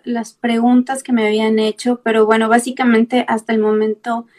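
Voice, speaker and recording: A woman's voice: on the high side, level tone, moderate pace, darkish tone. A woman hosting an interview as a fellow nutritionist, asking questions and giving friendly advice.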